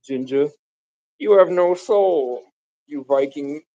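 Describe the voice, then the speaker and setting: A man's voice: on the high side, takes it slowly, warm tone, dry recording, highs cut off. A man from the Bronx, raised in Vermont, rambling grandly and casually to his viewers on a live stream. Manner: grandiose, meandering, casual slang. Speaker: livestreamer.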